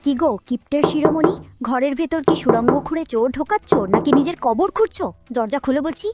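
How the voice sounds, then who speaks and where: A woman voicing the sharp-tongued, sarcastic wife of a miserly fox in a comic cartoon, scolding as she talks. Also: high-pitched, expressive, a little fast, loud music behind the voice.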